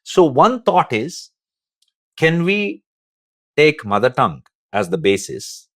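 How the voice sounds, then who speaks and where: A man's voice: fairly high pitch, very animated, slow pace, dry recording, clean studio sound. A man, a tech founder explaining ideas step by step as a podcast guest, often checking in with "right?". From India. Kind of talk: explanatory interview answer.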